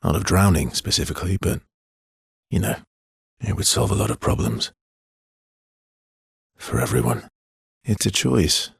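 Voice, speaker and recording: A brooding but tender man in naturalistic game dialogue. His low voice is very husky and breathy, sitting close and dry, and he speaks in an understated, halting, intimate way with a gently teasing edge. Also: very animated, no room sound, heavily acted. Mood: somber, subdued. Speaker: video game voice actor.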